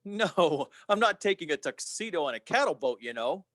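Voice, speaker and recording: Man playing an eager, high-voiced young dreamer. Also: very animated, normal speed, a bit bright, no echo, studio quality. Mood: breezy. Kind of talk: old-time radio play acting.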